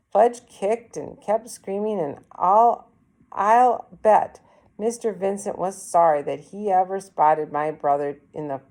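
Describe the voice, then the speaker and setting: Mellow woman reading a children's book aloud. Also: mid-range voice. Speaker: read-aloud storyteller. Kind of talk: expressive children's book narration.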